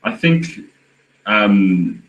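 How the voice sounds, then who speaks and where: A man answering as a panelist, measured and full of "you know" fillers. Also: lowish voice, very animated, a bit bright, muffled recording.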